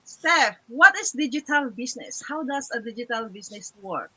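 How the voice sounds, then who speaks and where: Woman hosting an online panel, high bright voice, calls everyone sis. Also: lively intonation, moderate pace, husky, low-quality recording. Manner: formal, addresses guests as sis. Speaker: host.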